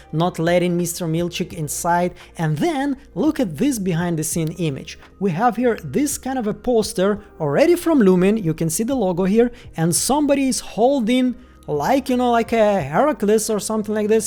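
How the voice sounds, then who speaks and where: A woman's voice: mid-range voice, dark tone. A woman from Ukraine with a Ukrainian accent, hosting a chatty video commentary full of "like" and "once again" fillers. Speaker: YouTube host.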